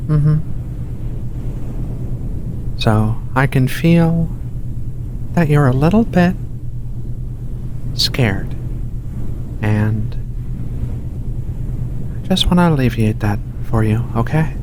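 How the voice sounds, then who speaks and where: A performer voicing a gentle giant furry alien researcher in a soft, hushed, soothing ASMR roleplay. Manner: soothing, unhurried, reassuring, coaxing. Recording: steady rumbling ship ambience behind voice. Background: low engine rumble of a spaceship.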